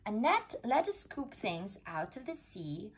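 Woman doing storytime for little kids, kinda husky voice, sing-song and really up and down. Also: on the high side, big pitch swings, a little slow. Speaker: storytime reader. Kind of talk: read-aloud of a rhyming children's picture book.

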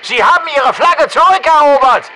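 A man's voice: very high voice, animated, normal speed, crowd noise. A man playing an alert soldier who gives clipped status reports over a military radio in a video game.

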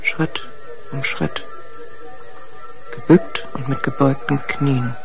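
An actress playing a brooding, guarded widow in a radio crime drama, speaking in a measured, subdued way. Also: female voice, extremely low pitch, fairly even intonation, over music, exaggerated voice.

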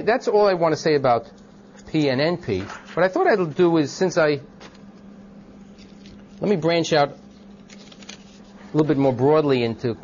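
A man with a loud voice giving an informal classroom lecture, thinking aloud with many ums and restarts and asking the class questions. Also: mid-range voice, a little hiss or hum. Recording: dull lo-fi lecture recording.

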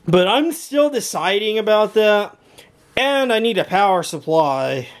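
Male vlogger, slightly high voice, halting and off-the-cuff, showing his gear on a handheld camera. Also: lively intonation. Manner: rambling, halting, thinking aloud. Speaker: video creator showing a home intercom and speaker system. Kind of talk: casual walkthrough vlog. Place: indoor garage workshop.

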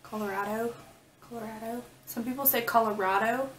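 A woman's voice: on the high side, fairly even intonation, a little fast, slight background noise. Giggly young woman, a little off mic. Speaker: guest vlogger. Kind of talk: casual chat. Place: small bedroom indoors. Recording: roomy, slightly distant webcam sound.

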